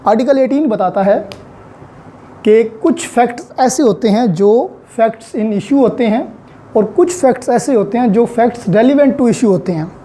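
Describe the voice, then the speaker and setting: Mellow-voiced Pakistani woman lecturing. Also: mid-range voice. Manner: code-switches between Urdu and English legal terms; didactic, step-by-step explaining. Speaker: law lecturer. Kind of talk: explanatory lecture on law.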